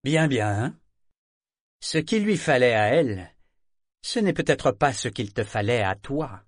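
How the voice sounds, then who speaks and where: A man voicing a small, agile slave broker with a gravelly voice and biting false politeness, speaking fast, in an audiobook. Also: mid-range voice, no echo, clean studio sound, natural voice. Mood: insidious. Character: small agile male slave broker, mock-affable and cruel. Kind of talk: audiobook character reading.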